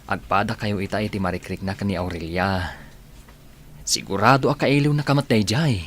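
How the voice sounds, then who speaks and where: Man playing a lowish-voiced, sympathetic trainee buddy. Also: moderate pace, fairly bright tone, slight background noise, natural voice. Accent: Ilocano. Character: sympathetic, frank young male trainee, loyal friend. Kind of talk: radio drama acting.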